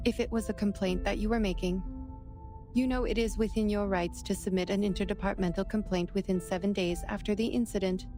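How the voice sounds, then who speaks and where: A woman plays a literal-minded female official who speaks in stiff, formal, precise phrasing at a middle pitch. Game music sits clearly behind her. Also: steady tone, a little fast, dark tone, natural voice.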